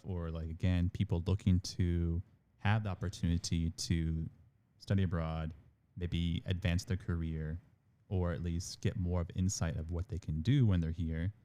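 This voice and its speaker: A man with a deep, warm voice talks as a guest on a college podcast. He rambles casually, stacking fillers as he thinks aloud. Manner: rambling, many "like" and "again" fillers. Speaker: international admissions manager, guest. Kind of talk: conversational podcast interview.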